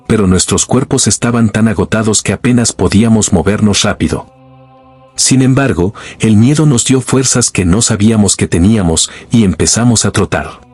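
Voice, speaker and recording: A man reading a scripted first-person horror story in a slow, grave, suspenseful way. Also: fairly low pitch, a little mellow, husky. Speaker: narrator.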